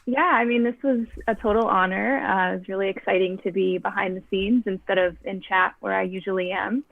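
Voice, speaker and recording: A woman's voice: mid-range voice, fairly even intonation, fairly quick, creaky, no room sound. A woman guest chatting casually and reflectively over a thin, phone-like call. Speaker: guest fan performer.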